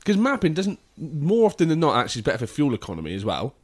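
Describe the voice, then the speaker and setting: Animated British guy bantering on a podcast. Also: a little high, quick pace, husky. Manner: jokey, swears freely, laughs often. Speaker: podcast host. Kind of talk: casual banter, reading a listener email.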